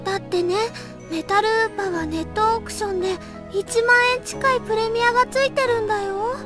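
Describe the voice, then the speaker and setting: A woman voicing a sweet, airy teenage girl with a soft, childlike, cutesy voice in a visual novel. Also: very high voice. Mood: downcast. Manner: cutesy singsong, drawn-out words. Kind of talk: Japanese visual novel voice acting. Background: music.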